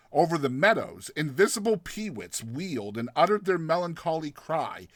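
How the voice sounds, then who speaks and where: Animated high-voiced man reading a story. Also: no echo, clean studio sound. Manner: theatrical, expressive storytelling. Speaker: narrator. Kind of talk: dramatized literary short story reading.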